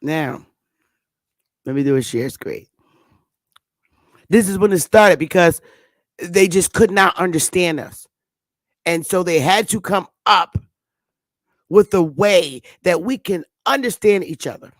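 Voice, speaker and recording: A woman's voice: lowish voice, dry recording. Emphatic woman teaching in a dry room. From northern United States. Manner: emphatic, preachy, with slow drawn-out stresses. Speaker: online talk show host. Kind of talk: casual teaching monologue.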